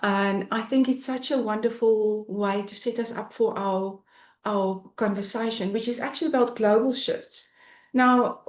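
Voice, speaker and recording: A woman's voice: medium pitch, a little mellow. A woman hosting and moderating a webinar chat on a video call, asking questions in an enthusiastic, chatty way. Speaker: host, moderator. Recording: echoey room.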